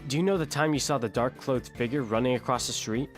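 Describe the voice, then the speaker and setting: A man's voice: mid-range voice, fast, natural voice. A man playing an earnest, dogged defense lawyer in an audio drama, questioning and a little sheepish. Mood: probing. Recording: music behind the voice.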